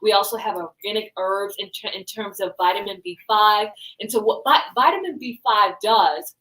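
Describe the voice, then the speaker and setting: A woman's voice: medium pitch, moderate pace, fairly bright tone, low-quality recording. A woman from the Bahamas presenting and demonstrating her hair-care brand's products, explaining step by step and often checking in with "right?".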